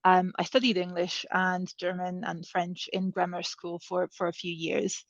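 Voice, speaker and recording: A woman's voice: lowish voice, little pitch movement, a little slow, no echo. Woman, dull dry recording. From Serbia. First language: Hungarian. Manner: conversational, many fillers and restarts. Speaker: panel speaker. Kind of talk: career advice talk.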